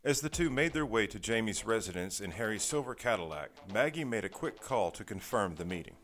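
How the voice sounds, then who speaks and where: Deep-voiced male story narrator. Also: lively intonation, brisk, a bit bright. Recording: music behind the voice.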